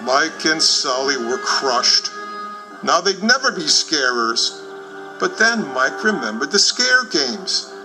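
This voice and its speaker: A man tells a children's story from a script. His voice sits slightly high and moves around in a lively, expressive way. Music plays clearly behind him. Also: slow, low-quality recording. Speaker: storybook narrator.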